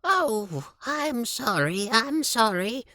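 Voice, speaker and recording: Shy old lady as a guy puts her on, quiet and slow, bright thin tone. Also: very high voice, very animated, no room sound, clean studio sound, pitched above the performer's own voice, heavily acted. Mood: timid. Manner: meek, soft, hesitant. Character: timid apologetic old woman.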